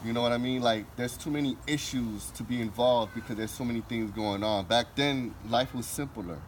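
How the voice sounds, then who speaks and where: A man's voice: mid-range voice, a little fast. A man in his thirties hosting a casual group conversation, easygoing and prompting others to speak. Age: 30s. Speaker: host. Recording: background noise.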